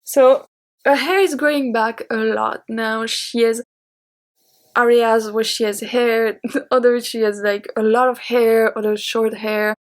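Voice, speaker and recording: A woman's voice: fairly high pitch, fairly even intonation, measured pace. Woman, 30s, French accent, native French. Chatty YouTube host answering questions. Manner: chatty and open, with light laughs. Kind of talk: casual Q&A talking to camera.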